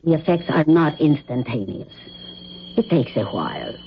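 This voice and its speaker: A man's voice: a little high, animated, slight background noise, close to an everyday voice. Solemn, cautioning mystic advisor played by a man. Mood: grave warning. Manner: measured, solemn, formal. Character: grave, cautioning mystic advisor dealing in dark remedies. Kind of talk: radio drama acting. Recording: dull old radio broadcast, music behind.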